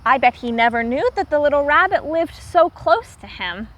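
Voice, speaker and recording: A woman teacher reading aloud to young children, pausing to explain words simply and repeating herself for clarity. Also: high-pitched, lively intonation, lo-fi sound, a little hiss or hum.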